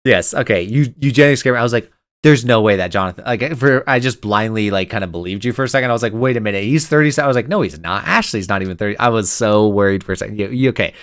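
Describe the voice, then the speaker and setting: Lively young man, smooth dry voice. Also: fairly low pitch, no room sound. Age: 20s. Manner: excitable, rambling, quick to laugh. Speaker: podcast co-host. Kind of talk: casual chat.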